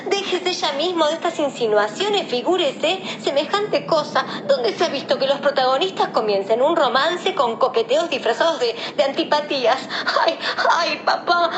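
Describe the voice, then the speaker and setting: A woman's voice: very high-pitched, background noise. A woman playing a melodramatic, indignant young daughter in comic radio theatre, whiny and theatrical. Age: young adult.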